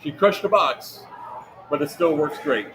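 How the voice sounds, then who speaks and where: A man's voice: on the high side, expressive, unhurried. A man hosting a casual livestream from an outdoor event, talking loosely and laughing easily. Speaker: host. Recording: clear background event noise.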